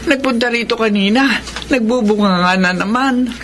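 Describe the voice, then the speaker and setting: A weary, loving mother, played by a woman in a radio drama, with a fairly high voice and a long-suffering manner. Noticeable noise runs behind her. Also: normal speed, fairly bright tone, close to an everyday voice. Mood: worried, apologetic. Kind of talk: Tagalog radio drama acting. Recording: noisy radio drama track.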